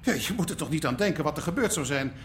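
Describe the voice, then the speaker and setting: An actor playing a prim, self-righteous city official in a radio drama, formal and defensive. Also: male voice, a little high, lively intonation, fast talker, a little hiss or hum, lightly acted. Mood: officious, dismissive. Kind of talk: naturalistic radio drama acting.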